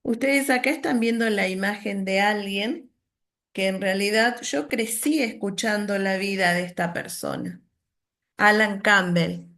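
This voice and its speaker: A woman's voice: medium pitch, sing-song, measured pace, fairly bright tone. Woman leading a family-history workshop. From Argentina. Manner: reflective, storytelling, many "¿no?" tags. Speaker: workshop leader. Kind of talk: online workshop presentation.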